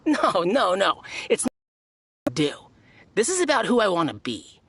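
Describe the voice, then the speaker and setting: A woman's voice: medium pitch, very animated, normal speed, a bit bright, breathy edge, heavily acted. A woman playing a smug, boastful overachiever in a video game, airy and self-assured. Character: smug, self-satisfied overachiever entering a fighting tournament. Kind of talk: video game character acting.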